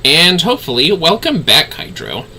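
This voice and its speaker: A man's voice: a little high, wildly swooping pitch, moderate pace, sharp tone, slight background noise, low-quality recording. A man hosting a gaming livestream with casual, easygoing, chatty talk to his viewers. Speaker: livestreaming gamer host.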